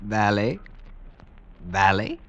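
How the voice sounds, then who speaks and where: An actor playing a puzzled, solemnly dismissive blind villager, declaiming in archaic phrases in vintage radio drama style. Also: male voice, lowish voice, big pitch swings, very slow, lo-fi sound, heavily acted.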